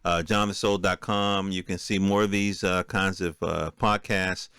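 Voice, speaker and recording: A man with a fairly low voice delivers an unscripted podcast monologue. His speech is casual and streetwise, full of colloquial turns, and the sound is very dry with hardly any room to it. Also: level tone, moderate pace, a bit bright, clean tone, dry recording. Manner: streetwise, colloquial, peppered with profanity. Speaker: podcast host.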